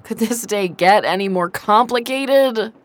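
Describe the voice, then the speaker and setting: A woman's voice: medium pitch, unhurried, noisy background, lightly acted. An actress playing a weary, sarcastic but protective adventurer woman in an audio drama. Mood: weary, irritated. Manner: dry, sardonic, tender with loved ones.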